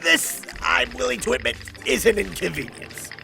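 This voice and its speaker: A man's voice: very high-pitched, big pitch swings, normal speed, creaky, full character voice. A man playing a proud, unflappable cartoon superhero who has become a weary working dad, with a wry, theatrical manner. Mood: unflappable bravado. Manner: theatrical, wry, understated bravado. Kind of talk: cartoon comic dub acting. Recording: music behind the voice.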